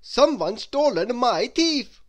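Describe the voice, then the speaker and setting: A woman's voice: high-pitched, slow pace, fairly bright tone, pitched above the performer's own voice. A woman voicing a muddled, agitated storybook man who jumbles his words emphatically. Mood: indignant.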